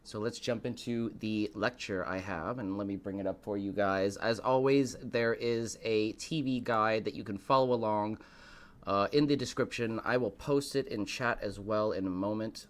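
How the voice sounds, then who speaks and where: A man hosting a live stream, a little hoarse, greeting chat and building up the evening with showy, dramatic lines. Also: lowish voice, normal speed. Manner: showy, dramatic build-ups addressed to viewers. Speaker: host of a live stream show.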